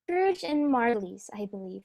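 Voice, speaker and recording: A woman's voice: fairly high pitch, sing-song, unhurried, creaky, darkish tone, full character voice. A female performer playing a genteel, easily astonished charity collector in a radio play. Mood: astonished, flustered.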